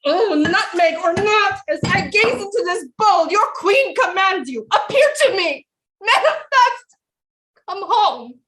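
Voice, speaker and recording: A woman's voice: extremely high pitch, expressive, measured pace, fairly bright tone, a little hiss or hum, exaggerated voice. A woman playing an imperious, lovelorn French queen in a theatrical period audio drama, with haughty, grandiose formal diction. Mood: commanding.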